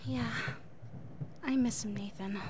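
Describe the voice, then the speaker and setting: A woman's voice: on the high side, steady tone, takes it slowly. A woman playing an anxious, grumbling young woman in an amateur radio play, on a noisy recording. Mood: sad, wistful.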